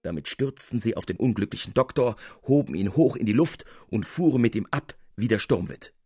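A man reading literary narration as an audiobook narrator, in an expressive, measured storytelling manner. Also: medium pitch, husky, dry recording, clean studio sound.

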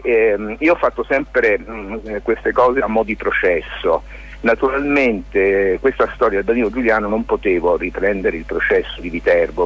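Very husky, low-voiced man explaining haltingly. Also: dull audio. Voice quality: husky, rough. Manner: halting, discursive explaining with many hesitations. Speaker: lawyer and theatre maker, interview guest. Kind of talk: informal spoken explanation in a radio interview.

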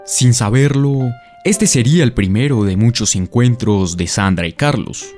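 A man's voice: mid-range voice, measured pace, darkish tone. A man giving dramatic scripted narration for a radio soap opera. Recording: roomy echo, faint guitar music behind.

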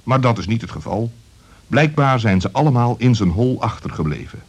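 A man narrating a scripted children's story as storyteller, in a vivid, expressive and dramatic way. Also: medium pitch, a little fast, slightly rough.

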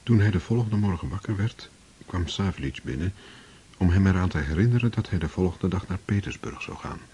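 A man narrating a radio play in a measured, literary manner. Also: deep voice, animated, dark tone, slight background noise.

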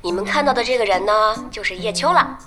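A woman's voice: medium pitch, expressive, fast talker, close to an everyday voice. An actress playing a friendly, bubbly young female gaming star in a Chinese audio drama. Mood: cheerful.

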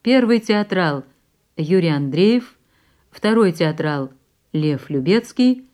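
A woman announcer reading scripted radio drama credits in a formal, measured manner. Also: fairly low pitch, expressive, a little mellow.

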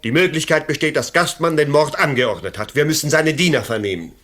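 A man's voice: fairly high pitch, a little fast, a bit bright, lightly acted. A young actor with a Swiss German accent playing an ambitious, bitter policeman in a radio play, urgent and pleading. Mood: insistent. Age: young adult. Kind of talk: 1980s radio play acting.

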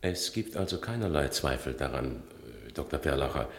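A methodical male police inspector in a radio crime play. His voice is extremely deep and creaky. He questions slowly and deliberately in a reverberant room. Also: animated. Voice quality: gravelly. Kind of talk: naturalistic radio drama acting. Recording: echoey room.

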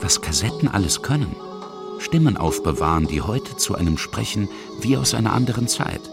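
A man's voice: on the low side, very animated, normal speed, vocal fry, over loud music. A man reading scripted documentary narration as the narrator and interviewer of a feature.

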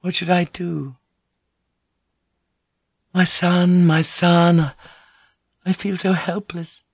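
A man's voice: sits high, level tone, extremely slow, creaky, husky, no room sound, pitched above the performer's own voice, heavily acted. A man voicing a grieving, helpless noblewoman, solemn and formal, in an audiobook. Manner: formal, solemn self-questioning. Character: grieving, helpless noblewoman alone with her duty.

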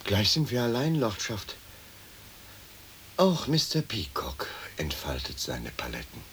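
Slow, formal manservant, faint hiss. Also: male voice, on the low side, huge pitch swings, fairly bright tone, heavily acted. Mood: dry, deferential. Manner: formal, measured, drily matter-of-fact. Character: dry, deferential manservant turning into a crow. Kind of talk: stylized German radio play acting.

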